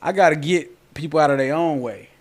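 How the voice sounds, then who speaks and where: A man's voice: on the high side, a little fast. A forty-year-old man chatting casually and informally as a podcast guest. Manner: informal, conversational, repeats "you know what I'm saying". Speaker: podcast guest, cornhole player.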